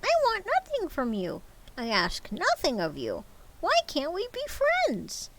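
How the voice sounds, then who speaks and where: A woman reading aloud and voicing a cheerful, earnest young man who pleads warmly with his uncle. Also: sits high, wildly swooping pitch, unhurried, a little hiss or hum, voice pushed up, exaggerated voice, low-quality recording. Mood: pleading. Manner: pleading, warm-hearted.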